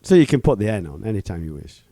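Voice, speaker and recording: Middle-aged man, low pitch, swooping lively delivery, dry close sound. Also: fast, creaky, dry recording. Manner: teasing, swearing, lively laughs. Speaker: podcast co-host. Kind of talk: casual crude banter.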